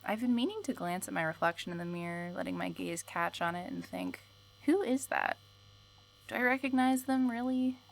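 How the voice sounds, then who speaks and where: A woman playing the musing host of an eerie fiction radio show, speaking in a measured, contemplative way. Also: mid-range voice, creaky, natural voice. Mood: detached, eerie. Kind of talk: scripted reflective fiction narration.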